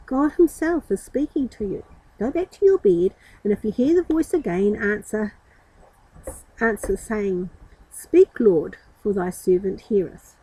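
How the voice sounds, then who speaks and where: A woman voicing a kindly old male priest in a children's Bible storybook, gentle, patient and instructing. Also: mid-range voice, lively intonation, a little slow, husky, low-quality recording. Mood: gentle, solemn.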